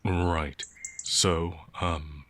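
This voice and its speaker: A man playing a gentle, anxious werewolf outlaw in a fantasy ASMR roleplay, hesitant, stammering and apologetic. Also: deep voice, steady tone, extremely slow, full character voice. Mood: nervous, flustered. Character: gentle, timid, anxious male werewolf outlaw, easily frightened.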